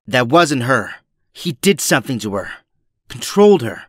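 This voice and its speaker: A man's voice: mid-range voice, very animated, moderate pace, no echo, clean studio sound. A man playing a weary but firm resistance leader in an audio drama. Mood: pleading, hopeful.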